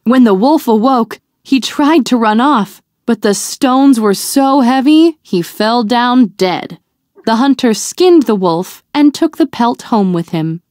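A woman reading a fairy tale aloud to young children in a deliberate, expressive storytelling manner. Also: on the high side, dry recording, studio quality.

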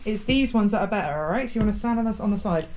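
A young British woman hosts an exploring vlog inside an abandoned house. She is chatty and excitable, gushing over every find. Her voice sits in a middle pitch, with a faint hiss behind it. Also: racing. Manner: excitable, chatty, exclaims often. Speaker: urban explorer host. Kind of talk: casual exploring vlog chat.

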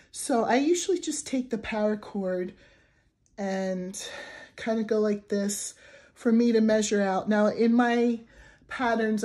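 A young adult woman with a raspy voice from the flu, casually talking through a crochet tutorial at a table, chatty with fillers and restarts. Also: medium pitch, mellow. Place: indoors at a wooden table. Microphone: camera over a tabletop, hands only.

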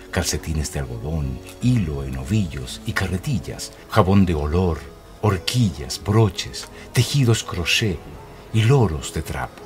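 An actor narrating a radio drama as a storyteller. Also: male voice, sits low. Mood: descriptive. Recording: music behind the voice.

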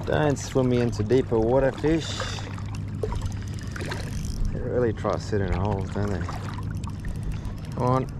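A man's voice: mid-range voice, measured pace, long pauses. An Australian man giving casual fishing commentary outdoors on a small boat. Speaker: fishing charter guide. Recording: clear boat, water and outdoor noise. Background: water and boat sounds.

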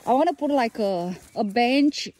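A woman's voice: on the high side, animated, moderate pace, darkish tone. A woman co-hosting an outdoor walking tour of a tree farm, chatty and enthusiastic, with loose, non-standard phrasing. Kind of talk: casual walking tour vlog.

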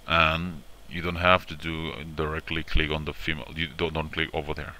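A man presenting an informal step-by-step software tutorial in a repetitive, instructional way, often checking in with short tags. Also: rumbling low, completely flat delivery, moderate pace.

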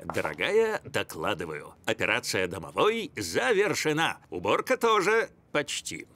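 Slow, self-assured cartoon dad. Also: male voice, lowish voice, wildly swooping pitch, pushed and bright, heavily acted. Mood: dutiful.

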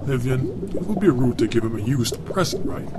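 Man playing a fussy old wizard, swooping pitch. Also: expressive, normal speed, natural voice. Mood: firm, fatherly. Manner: theatrical, formal, muttering and fussing. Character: kindly, fussy old male court magician and mentor. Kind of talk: audio drama acting. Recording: very noisy amateur recording.

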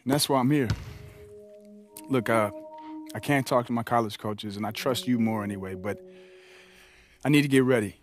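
An American actor playing an eager, easygoing young college basketball star in a video game, casual, earnest and a bit halting. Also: male voice, mid-range voice, faint music behind, natural voice. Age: young adult. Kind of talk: naturalistic video game cutscene acting.

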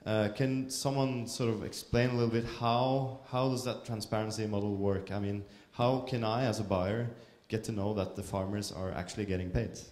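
Low-voiced guy asking the panel a question, lots of uhs, echoey. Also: fairly even intonation, normal speed, darkish tone. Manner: hesitant, many fillers. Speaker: coffee buyer asking a question. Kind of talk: audience question. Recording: echoey conference hall.

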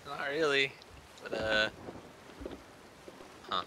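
A man's voice: medium pitch, drawn-out words. A man chatting casually with a friend during a game, joking with some mild swearing. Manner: joking, mildly profane. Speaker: gamer playing with a friend. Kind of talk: casual gaming chat. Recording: background game noise of sea and boat.

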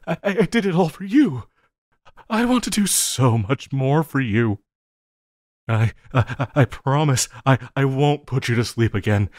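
A man playing an obsessive, lovesick supervillain in an audio roleplay, needy and melodramatic, with halting, stammering delivery. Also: mid-range voice, dry recording, studio quality. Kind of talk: intimate audio roleplay acting.

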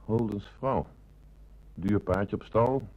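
A dutiful police detective in a radio play. His voice sits fairly low, and he reports to his superior in clipped, factual phrases. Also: takes it slowly, dull audio. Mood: factual, knowing. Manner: matter-of-fact, clipped reporting. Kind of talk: Dutch radio play acting.

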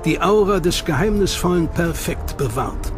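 Guy narrating a doc, measured and dramatic, kinda high voice, loud music under it. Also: lively intonation. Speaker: documentary narrator. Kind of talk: scripted documentary narration.

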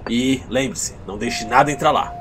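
A man's voice: moderate pace, close to an everyday voice. A man with a Brazilian accent playing a shifty, breezily reassuring pizzeria owner in a fan-dubbed film. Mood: reassuring, evasive. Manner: hesitant fillers, breezy reassurance. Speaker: voice actor in a fan dub. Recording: faint rumbling noise behind.

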